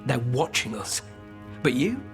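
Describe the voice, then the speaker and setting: Sly, animated gang informant. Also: male voice, mid-range voice, unhurried, soft background music. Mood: sly, conspiratorial. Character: wry, scheming male criminal gang informant. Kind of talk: video game acting.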